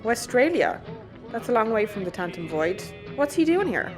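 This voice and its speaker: A woman's voice: medium pitch, very animated, moderate pace, natural voice. A woman acting as a blunt, no-nonsense, sweary young crew boss in an audio drama. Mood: businesslike. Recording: music under the voice.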